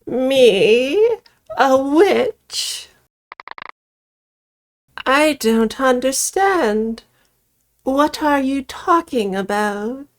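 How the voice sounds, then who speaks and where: A woman voicing a mysterious witch from a visual novel, feigning sweet innocence in a slow, drawn-out, coy and sinister manner. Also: high voice, lively intonation, slight background noise, pitched above the performer's own voice, full character voice. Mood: feigned innocence. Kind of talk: visual novel character read-aloud.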